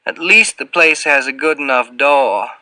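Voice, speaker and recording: A man voicing a huge, sly, taunting fat man with a slow, insinuating Southern drawl in an audiobook. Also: mid-range voice, pushed and bright, no room sound, pitched above the performer's own voice, low-quality recording. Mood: lingering, sly. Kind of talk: audiobook character voice.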